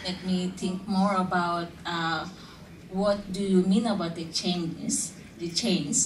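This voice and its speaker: A woman from Indonesia, a panel guest, answering slowly with many fillers and restarts as she searches for words. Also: fairly low pitch, fairly even intonation. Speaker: panel guest, community activist. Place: conference hall. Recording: roomy hall, distant mic, faint noise.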